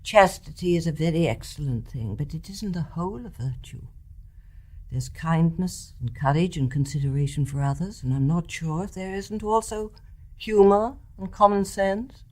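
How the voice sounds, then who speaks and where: A woman's voice: lowish voice, moderate pace, lightly acted. Genteel, reflective elderly mother played by a woman. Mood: gentle reproof. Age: older. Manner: reflective, gently reasoning, genteel. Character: wise, tolerant elderly mother, gently candid. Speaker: actor in a radio play. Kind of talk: measured radio drama acting.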